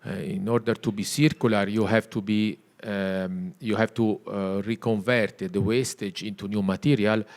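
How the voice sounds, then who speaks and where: Italian-accented middle-aged man, non-native English, slow hesitant delivery, low register. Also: steady tone. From Italy. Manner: hesitant, many filler sounds, searching for English words. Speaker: CEO of a textile mill, panel guest. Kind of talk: panel discussion. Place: retail store event space.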